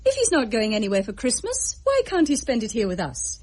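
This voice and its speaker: A woman playing a warm, genteel Victorian wife with an English accent, effusive and gently teasing, in a period drama. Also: fairly high pitch, huge pitch swings, fairly quick. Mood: teasing, pleased. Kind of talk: period radio drama acting.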